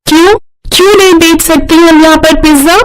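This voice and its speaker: A woman's voice: very high-pitched, steady tone, moderate pace, voice pushed up. A woman voicing a sweet, eager, plucky schoolgirl in a cartoon story. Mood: defiant. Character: sweet, plucky schoolgirl sisters selling pizza.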